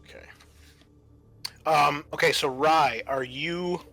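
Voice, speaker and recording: A man narrating a tabletop roleplaying game as game master, in a halting, descriptive manner. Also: on the high side, creaky, bright, forceful tone, soft background music.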